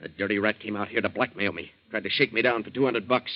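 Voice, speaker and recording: A man's voice: fairly low pitch, fairly even intonation, fast, lightly acted. A man playing a hot-headed young murder suspect with an American accent and slangy, clipped talk in a 1940s radio drama. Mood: grimly resolved. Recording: muffled 1940s radio recording.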